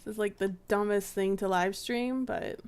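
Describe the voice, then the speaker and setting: A young woman excitedly calling the play while chatting with friends over a game. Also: medium pitch, normal speed, clean tone. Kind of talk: casual gaming chat.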